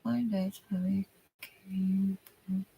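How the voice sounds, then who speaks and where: A woman with a Hungarian accent talks to her live viewers while she works on crafts. Her voice has a gravelly, creaky edge, and she speaks very slowly. She thinks aloud in halting bits and often trails off. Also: mid-range voice, fairly even intonation, warm tone, rough recording. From Romania. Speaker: crafter streaming a journaling session. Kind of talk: casual live-stream chat while crafting.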